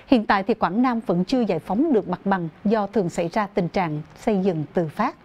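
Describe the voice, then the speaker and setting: A woman's voice: medium pitch, brisk, a little mellow. A young woman reading scripted news formally as an anchor in a TV studio.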